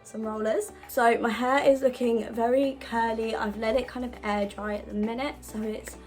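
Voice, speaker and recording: A young woman, husky because she is unwell, chatting casually through a hair tutorial at home. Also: on the high side, steady tone, moderate pace, darkish tone, soft background music. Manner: chatty, self-deprecating. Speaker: beauty vlogger.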